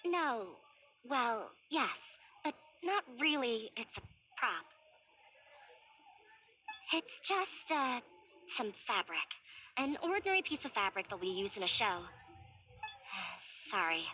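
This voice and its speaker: A woman voicing a jumpy, secretive young female theater prop maker in a video game, flustered and halting. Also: fairly high pitch, very animated, bright, forceful tone, slight background noise, heavily acted, low-quality recording. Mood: flustered, evasive. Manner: flustered, halting, evasive, defensive. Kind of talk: video game character dialogue.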